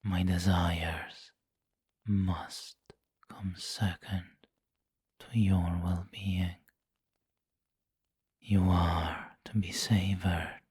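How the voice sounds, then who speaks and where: A man playing a refined, doting and possessive vampire lord in an intimate ASMR roleplay, with a breathy whisper and formal, tender phrasing. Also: low-pitched, little pitch movement, a little mellow, dry recording, clean studio sound, full character voice. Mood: savoring, possessive. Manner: slow, formal, tender, indulgent.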